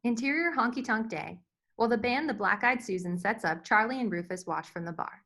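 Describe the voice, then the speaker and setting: A woman's voice: a little high, expressive, brisk, fairly bright tone. A woman narrating scripted stage directions at a screenplay table read.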